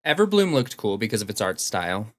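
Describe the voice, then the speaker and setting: A man's voice: fairly low pitch, sing-song, quick pace, no room sound. Casual male co-host, very dry sound. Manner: brief, informal replies. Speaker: podcast co-host. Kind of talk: casual chat.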